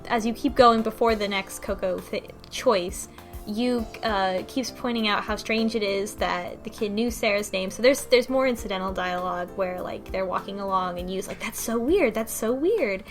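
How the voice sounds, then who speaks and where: A woman's voice: mid-range voice, moderate pace. A woman on a casual book club panel, talking in a wry, incredulous, conversational way. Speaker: podcast panelist. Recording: music behind the voice. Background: background music.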